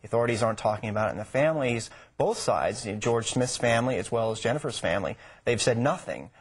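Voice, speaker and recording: A man in his thirties commenting as a guest in a live TV news interview, speaking in a hesitant, measured way. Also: mid-range voice, lively intonation, husky. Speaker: news show guest reporting on a case. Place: television news studio.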